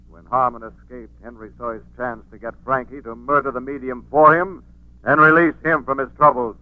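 An actor playing a menacing, unseen avenging crime fighter with slow, ominous, declamatory delivery in 1940s radio style. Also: male voice, mid-range voice, steady tone, no room sound, natural voice. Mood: accusing. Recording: muffled narrow-band 1940s radio recording.

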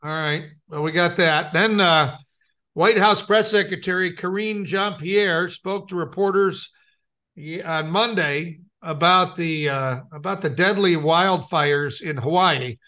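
A man's voice: sits high, measured pace, bright, forceful tone. A man co-hosting a radio show, bantering casually and reading out offbeat news.

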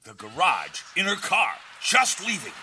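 A man playing a laid-back, goofy tech-whiz dog in a live audio play, with a gravelly voice. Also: on the high side, wildly swooping pitch, measured pace, background noise. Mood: matter-of-fact. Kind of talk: live audio theater acting.

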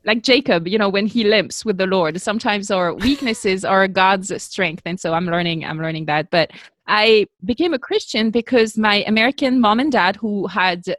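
A middle-aged woman with a French accent talks as a podcast guest in an earnest, self-mocking way, often stopping for um and you know. Her pitch sits mid-range. The sound is dry and close, with no room echo. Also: normal speed, fairly bright tone. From France. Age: 40s. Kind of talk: casual interview chat.

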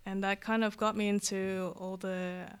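Smooth-voiced woman from Vietnam. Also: medium pitch, little pitch movement, measured pace. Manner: rambling and self-correcting, with many "like" and "um". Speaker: guest illustrator. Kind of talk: casual interview chat.

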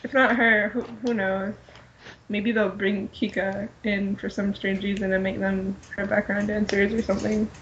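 A woman's voice: mid-range voice, level tone, moderate pace, fairly bright tone. A woman chatting casually as a panelist on a fan podcast, heard over a muffled lo-fi link with noise.

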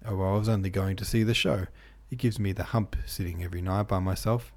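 A man's voice: low voice, steady tone, fast talker, a little mellow. A man reading the lines of a coarse, defensive young woman who snaps back defiantly, in a storybook reading. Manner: rough, defiant retorts.

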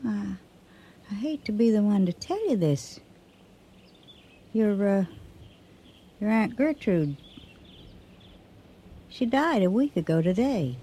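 A woman's voice: mid-range voice, expressive, slow pace, pauses often, mellow, breathy edge. An actress playing a folksy, hesitant female neighbour in a radio drama. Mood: hesitant, regretful. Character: nosy, hesitant female neighbour bearing bad news.

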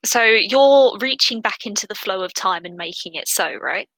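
A woman's voice: medium pitch, lively intonation, moderate pace, vocal fry, fairly bright tone, dry recording, poor audio. A woman playing a tabletop game, chatting casually as she improvises.

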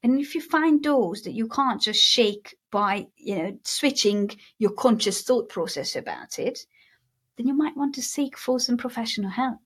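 A woman's voice: fairly high pitch, animated, normal speed, breathy edge. A woman from Hungary with a mixed Hungarian accent, speaking as a guest in a casual interview about mindset and stretching words for emphasis. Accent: Hungarian mixed with British and American.

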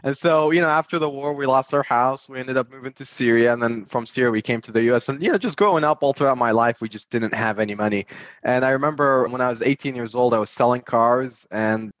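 A young man who grew up in Iraq tells his life story as a podcast guest, talking fast and eagerly, and acting out what he told himself along the way. The voice is mid-pitched. He comes through a dry, dull, lo-fi remote connection. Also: no room sound. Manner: fast, energetic storytelling with quoted inner dialogue. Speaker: guest, real estate agent and investor.

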